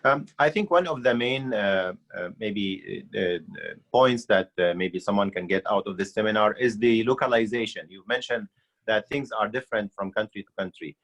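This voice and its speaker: A man's voice: lowish voice, moderate pace, a bit bright. A man from Syria with an Arabic accent, making earnest, unscripted panel remarks with hesitant starts.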